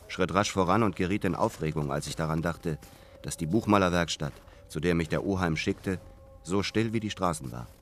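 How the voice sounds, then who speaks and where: An actor playing a brooding, lovelorn man who tells his story in a German radio play, measured and earnest. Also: deep voice, lightly acted. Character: brooding, lovelorn returning man, former painter's apprentice. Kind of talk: literary first-person narration in German radio play.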